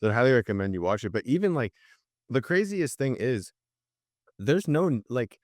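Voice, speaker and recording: A man co-hosting a podcast, explaining things casually in a halting, loosely phrased way. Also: medium pitch, big pitch swings, no room sound, clean studio sound.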